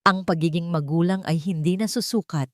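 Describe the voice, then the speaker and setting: A commanding CEO, voiced by a woman narrator, protective and calm but forceful. Each word is deliberate and weighty, in the style of a dramatic audio romance. The sound is very dry. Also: fairly low pitch, no room sound, close to an everyday voice. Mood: intense, righteous. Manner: grave, emphatic, deliberate speech-making.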